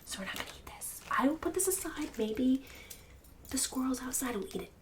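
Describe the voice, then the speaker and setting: A woman's voice: high-pitched, lively intonation, brisk, far from the mic, background noise. A woman playing a snobbish, mean suburban book club hostess in an ASMR roleplay, partly whispered, with condescending, fake-polite emphasis. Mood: haughty disdain, then snooty indignation.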